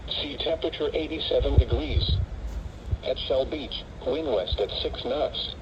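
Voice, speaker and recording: Synthetic male announcer, medium pitch, weather bulletin, dull radio speaker, noisy background. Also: measured pace.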